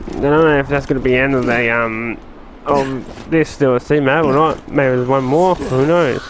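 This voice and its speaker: A man's voice: medium pitch, steady tone, moderate pace, a little hiss or hum. A man hosting a gaming video with casual, mumbling, meandering commentary as he plays.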